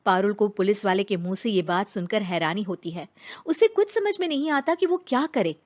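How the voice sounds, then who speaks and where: A woman's voice: medium pitch, animated, fairly quick. A woman narrating a scripted dramatic story as its storyteller.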